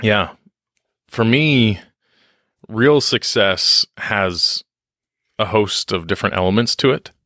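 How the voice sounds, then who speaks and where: A man's voice: on the low side, expressive, slow, long pauses, pushed and bright, dry recording, studio quality. A man talking candidly in a podcast interview, reflective and self-deprecating, often restarting his sentences. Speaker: podcast guest on leadership and success.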